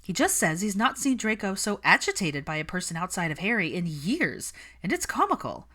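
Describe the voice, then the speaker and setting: A woman's voice: mid-range voice, big pitch swings, brisk, bright, forceful tone, pitched above the performer's own voice. A woman voicing an observant young witch friend who speaks frankly and with mild amusement, in a story reading. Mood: frank, amused.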